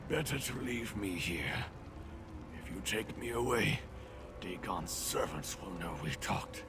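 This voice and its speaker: Sorrowful old blind madman, low gravelly breathy voice, slow halting delivery, theatrical, fantasy game, music behind. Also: lively intonation, very noisy. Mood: urgent, cryptic. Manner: halting, theatrical, archaic formal phrasing. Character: mad, blind old male prisoner, former priest, sorrowful. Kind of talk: fantasy video game voice acting.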